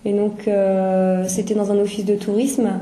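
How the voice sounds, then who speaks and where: A woman's voice: medium pitch, level tone, a little slow, dark tone, roomy sound. A young woman in her twenties, a student, asking polite questions in casual conversation practice.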